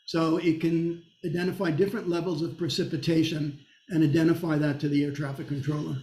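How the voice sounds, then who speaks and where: Male lecturer, slightly high voice, halting explanatory delivery, echoey recording. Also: level tone, mellow. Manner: halting, explanatory, with pauses mid-sentence. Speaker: presenter. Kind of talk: technical lecture with question and answer.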